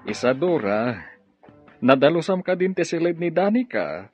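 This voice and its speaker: A man acting a gentle middle-aged father and professor in Ilocano radio drama, with an Ilocano accent. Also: a little high, big pitch swings, moderate pace, highs cut off, soft background music. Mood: busy, casual. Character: fatherly retired professor and farm owner, gentle.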